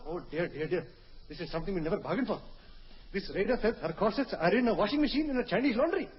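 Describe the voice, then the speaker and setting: A man's voice: high-pitched, lively intonation, fast talker. A man playing a pompous, mock-serious scientist in an old radio comedy sketch, declaiming his demonstration. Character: pompous male scientist-official demonstrating absurd inventions. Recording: old noisy dull radio recording, clicks.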